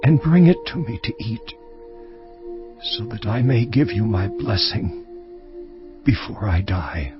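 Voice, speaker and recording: A slow, grave old patriarch. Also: male voice, lowish voice, big pitch swings. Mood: weary, fatherly. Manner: grave, deliberate. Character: aged Hebrew patriarch, grave, weary father. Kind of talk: dramatized audio scripture acting. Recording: dull muffled audio with music.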